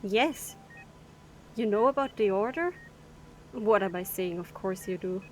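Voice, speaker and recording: A woman's voice: mid-range voice, measured pace, slight background noise, lightly acted, poor audio. A woman playing a frank, rueful cargo captain in an audio drama, talking in a matter-of-fact way.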